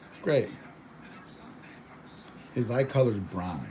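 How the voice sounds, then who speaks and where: A man's voice: fairly low pitch, expressive, takes it slowly, warm tone, slight background noise. A young man born in Mexico chatting casually at home, rambling with lots of fillers and restarts. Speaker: vlogger. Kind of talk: casual chat.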